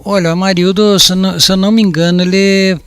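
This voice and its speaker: A man's voice: high-pitched, flat delivery, fast talker, smooth, no echo. A man in his fifties giving testimony as a witness at a jury trial, hesitant and full of restarts and repeated words. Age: 50s. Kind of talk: courtroom testimony.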